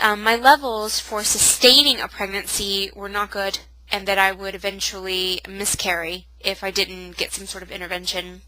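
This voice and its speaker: A woman's voice: medium pitch, bright, forceful tone, poor audio. A young woman with a cold, sounding nasal and sniffly, chatting in a casual, self-mocking home vlog. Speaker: vlogger. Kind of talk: casual pregnancy update vlog.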